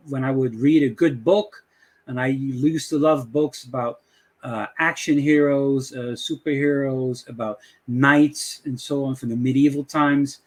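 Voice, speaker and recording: A middle-aged man from the Dutch West Indies with a Dutch accent, hosting a casual tutorial and rambling with many fillers. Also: medium pitch. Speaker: channel host. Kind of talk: casual screen-share tutorial intro.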